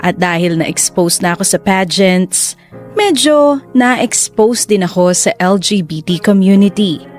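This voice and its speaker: A man's voice: sits high, animated, unhurried. A reflective man in his late twenties, tells his own love story as radio drama narration. Faint music runs under the voice. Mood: reflective, confessional.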